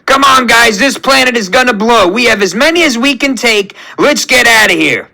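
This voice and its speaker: A man voicing a brisk creature hero who gives urgent, clipped, shouted commands in a superhero story. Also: extremely high pitch, lively intonation, creaky, pitched above the performer's own voice, full character voice.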